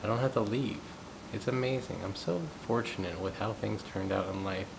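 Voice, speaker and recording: A man in his thirties, from the United States, talking through his thoughts in a reflective, unhurried personal monologue. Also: vocal fry. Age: 30s. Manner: thoughtful, unhurried, musing aloud. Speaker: gong practitioner sharing thoughts. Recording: steady low rumbling background noise. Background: rumbling noise.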